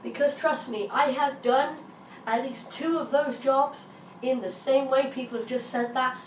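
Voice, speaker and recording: A British woman in her early thirties talks candidly to camera, her voice slightly raspy and pitched a bit high. She sounds a step back from the mic, in a roomy space with a faint hum of ambience behind her. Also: fairly even intonation, a little slow, far from the mic. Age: 30s. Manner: frank, emphatic, swears when frustrated. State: raspy while getting over an illness. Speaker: vlogger. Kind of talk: candid personal vlog monologue.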